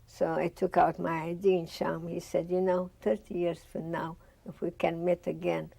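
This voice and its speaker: Older woman, Russian accent, low gravelly voice, storytelling interview, dry close sound. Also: flat delivery, normal speed, dark tone, no room sound. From Bessarabia. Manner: reflective storytelling, acts out remembered dialogue. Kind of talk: oral history interview. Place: studio with dark curtain backdrop, seated.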